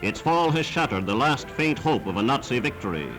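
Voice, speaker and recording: A man's voice: mid-range voice, big pitch swings, fairly quick, a bit bright. A man narrating a scripted 1940s wartime newsreel in a formal, emphatic, declamatory manner, on a vintage soundtrack. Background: orchestral newsreel music.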